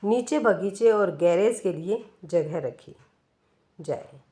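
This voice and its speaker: A woman reading a scripted first-person story in a measured, expressive way, with pauses. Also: on the low side. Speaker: storyteller, narrator.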